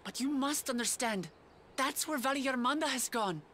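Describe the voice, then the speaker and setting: A woman's voice: high-pitched, moderate pace, fairly bright tone, slight background noise. An actress playing an earnest, idealistic young lion-woman warrior in a video game, speaking with impassioned conviction. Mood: pleading. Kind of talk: video game cutscene acting. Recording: music behind the voice.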